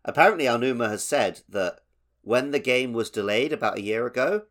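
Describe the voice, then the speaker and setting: A man's voice: mid-range voice, lively intonation, a little fast, a bit bright. A man hosting a gaming podcast in casual, conversational chat. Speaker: podcast host.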